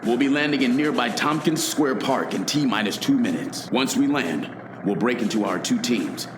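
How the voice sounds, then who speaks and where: An actor playing a stern general giving a commanding military briefing in a radio play. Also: male voice, medium pitch, animated, normal speed, reverberant, noisy background, natural voice. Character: stern male general briefing his team of secret agents.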